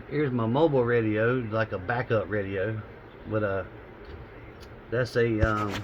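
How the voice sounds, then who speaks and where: A man gives a casual, unscripted walkthrough of his equipment in a halting, rambling, plain-spoken way, recorded in a room at home. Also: mid-range voice. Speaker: hobbyist vlogger showing radio gear. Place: small home radio room, indoors. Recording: muffled lo-fi audio with background noise.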